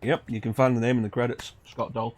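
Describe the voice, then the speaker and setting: A man's voice: mid-range voice, little pitch movement, quick pace, a little mellow. A man giving casual, conversational commentary as a game streamer. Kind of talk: casual commentary over gameplay. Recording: faint background noise from game stream.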